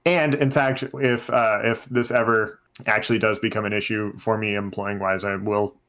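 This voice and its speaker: A man's voice: fairly low pitch, steady tone, normal speed, a bit bright, clear, resonant tone. A man hosting a podcast, talking casually and often repeating himself as he works toward his point. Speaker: podcast host; teacher.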